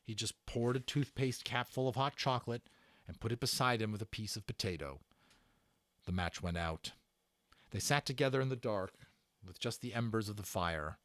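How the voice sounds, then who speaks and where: A man giving an expressive, dramatic read-aloud of a children's book as narrator. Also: on the low side, moderate pace, no room sound. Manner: expressive, performed reading. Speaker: narrator and voice actor.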